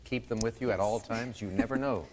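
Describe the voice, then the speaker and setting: A man's voice: fairly low pitch, a little fast, long reverb tail, background noise. A man hosting a radio quiz show, reading clues and bantering with the contestants in a wry, playful way. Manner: lively, wry, playful asides.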